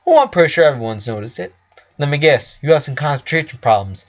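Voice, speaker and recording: Man playing a glib, high-pitched rambling doctor. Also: lively intonation, fast, dull audio, close to an everyday voice. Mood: glib, lecturing. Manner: rambling, sarcastic, lecturing. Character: glib, blunt, morbidly curious male doctor.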